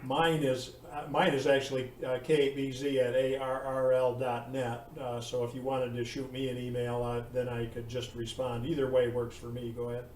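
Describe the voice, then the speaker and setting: A man chatting casually as a radio operator, speaking in a halting, polite, informal way. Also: medium pitch, flat delivery. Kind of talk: casual ham radio chat. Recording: roomy, distant mic, faint noise.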